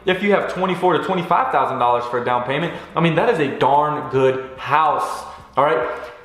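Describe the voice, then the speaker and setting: A man in his twenties giving an energetic, preachy motivational vlog talk, repeating phrases for emphasis. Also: fairly high pitch, moderate pace. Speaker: vlogger. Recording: very echoey room.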